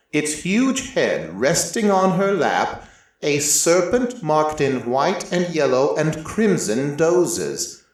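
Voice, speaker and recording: A man narrating a first-person story in a measured, scripted storytelling style. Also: mid-range voice. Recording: roomy, echoing voice recording.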